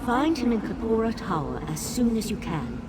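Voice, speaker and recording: An actress playing a brisk, businesslike messenger in a fantasy video game. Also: female voice, fairly high pitch, sing-song. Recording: loud ambient noise behind.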